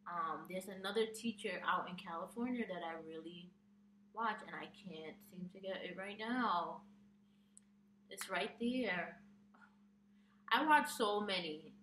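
A young woman talks casually to her camera, reading questions off her phone and filling pauses with ums. Her voice is bright and sits a little low. The sound is distant and echoey, with a faint hum behind her. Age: young adult. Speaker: vlogger. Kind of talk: casual tag video chat. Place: small room with a plain wall, indoors.

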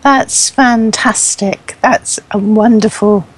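A woman's voice: mid-range voice, fairly even intonation, slow pace, slightly rough, low-quality recording. A woman interviewer conducting a friendly event interview in a complimentary, encouraging way. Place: online voice chat in a virtual world.

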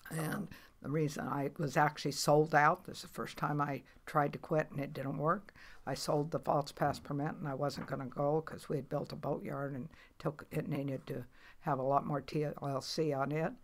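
Gravelly older woman telling fishing stories. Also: low-pitched, level tone, moderate pace. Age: 80s. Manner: rambling, matter-of-fact, many fillers and restarts. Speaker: guest, commercial fisher. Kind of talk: casual storytelling interview.

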